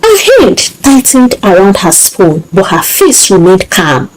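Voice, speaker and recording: A woman narrating a scripted story in a dramatic, expressive and emotive way. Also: medium pitch, a little slow, poor audio. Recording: music behind the voice. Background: music.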